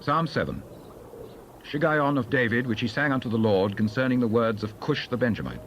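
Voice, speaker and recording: A man's voice: mid-range voice, lively intonation, fairly quick, a bit bright, a little hiss or hum. A man formally announcing titles in a scripted scripture narration, as an announcer between readings.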